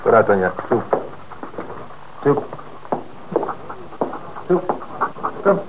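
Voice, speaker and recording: An actor playing a calm police dog handler who firmly explains his dog's work in an old radio play. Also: on the low side, drawn-out words, background noise, heavily acted.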